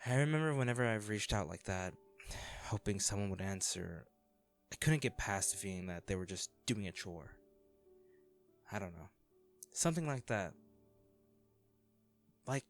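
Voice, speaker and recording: A man acting in an audio roleplay as an anxious, self-deprecating young man, a caring old crush who talks haltingly and intimately to the listener. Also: on the low side. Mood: subdued, bitter. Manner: hesitant, halting, sighing.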